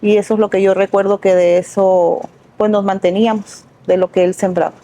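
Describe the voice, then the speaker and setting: A woman's voice: medium pitch, little pitch movement, brisk, mellow. A middle-aged woman from Sinaloa, reflectively telling her life story as a guest in an interview, with small restarts. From Sinaloa, Mexico. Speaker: guest, entrepreneur.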